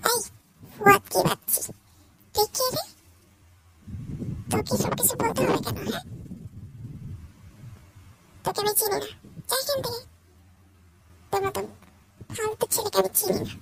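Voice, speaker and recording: A woman voicing a scheming, hot-tempered young gold-digger girlfriend with a squeaky voice in an amateur comedy cartoon. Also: measured pace, vocal fry, pauses often, heavily acted, poor audio. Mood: scornful. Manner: gushing sweet talk or sharp scolding.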